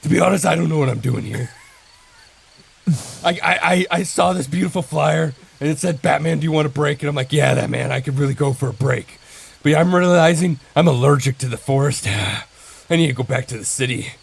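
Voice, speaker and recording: A man voicing a petulant, evasive vigilante in an improvised comic audio drama, stammering through excuses in a gravelly voice. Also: on the high side, brisk, full character voice. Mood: whiny, petulant. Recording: clear background noise with chirping crickets.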